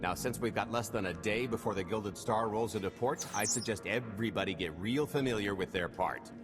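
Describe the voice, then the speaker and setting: A man's voice: fairly low pitch, a little fast, lightly acted. A man playing a cocky, roguish smuggler in a video game, with wry, glib banter. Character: cocky roguish male smuggler pitching a heist. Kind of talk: cinematic video game voice acting. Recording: music behind.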